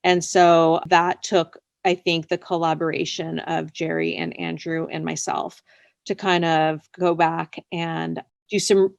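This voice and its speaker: A woman with a fairly low, gravelly-edged voice talks as a writer in a casual interview. She speaks informally and loosely, circling around her thoughts. The sound is dry, with very little room in it. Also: dry recording. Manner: informal and rambling, often says "like" and "you know". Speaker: interviewed scriptwriter.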